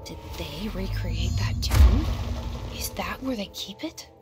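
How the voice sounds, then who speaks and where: Introspective young heroine over loud music. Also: female voice, medium pitch, animated, slow pace. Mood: reflective. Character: determined, introspective young heroine narrating her search.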